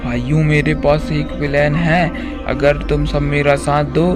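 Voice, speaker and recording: Man playing a timid, flat-toned village woodcutter. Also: a little high, measured pace, music in the background, close to an everyday voice. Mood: excited. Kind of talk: cartoon story acting.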